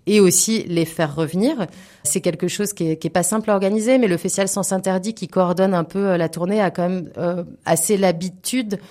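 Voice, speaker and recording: Woman on a radio interview, voice a bit low, keeps searching for words but really earnest. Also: fairly even intonation, brisk, warm tone. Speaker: interview guest, theatre representative. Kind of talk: spontaneous radio interview conversation.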